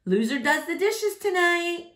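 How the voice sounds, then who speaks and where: A woman's voice: extremely high pitch, big pitch swings, measured pace, a bit bright, pitched above the performer's own voice, exaggerated voice. A woman voicing a nagging, teasing, no-nonsense mother in a story.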